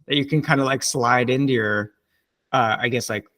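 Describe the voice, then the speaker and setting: A man hosts a podcast interview in a relaxed way. He phrases his questions loosely, in a voice at an ordinary middle pitch. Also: normal speed. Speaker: podcast host.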